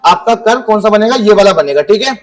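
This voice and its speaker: Male teacher, high voice, quizzes the class and answers himself. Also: lively intonation. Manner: asks students questions and answers them himself; reads definitions from the book slowly. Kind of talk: classroom economics lesson.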